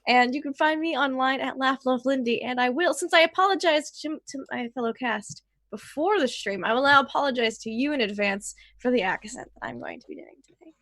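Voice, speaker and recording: A woman's voice: high voice, a little fast, few pauses, a bit bright, dry recording, studio quality. A woman chatting casually as a player on a tabletop game stream, perky and self-deprecating. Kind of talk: casual chat.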